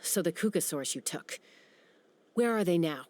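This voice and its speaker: A woman's voice: fairly low pitch, lively intonation, moderate pace, darkish tone, close to an everyday voice. A woman playing a tough young gunslinger who questions a prisoner with blunt, commanding, clipped questions in a game cutscene.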